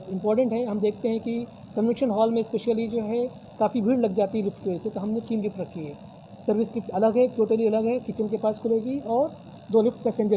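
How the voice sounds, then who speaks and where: A man's voice: high-pitched, background noise. A man answering reporters' questions at a press conference, formal and promotional, often using religious phrases. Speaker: convention hall representative. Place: decorated hall at a press table. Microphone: many news mics on a table.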